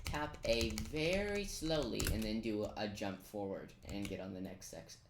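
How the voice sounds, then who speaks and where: Low-voiced guy doing a game walkthrough, echoey. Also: unhurried. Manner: informal, step-by-step instructing with playful asides. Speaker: gamer giving a tutorial. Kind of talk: casual game walkthrough commentary. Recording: roomy echo, noise, keyboard clicking. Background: computer keyboard typing and clicks.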